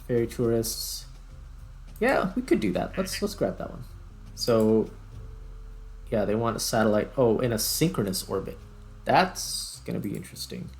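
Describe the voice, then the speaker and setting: Male gaming host, mid pitch with fry, casual think-aloud commentary, muttered in places. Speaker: host, gaming video creator.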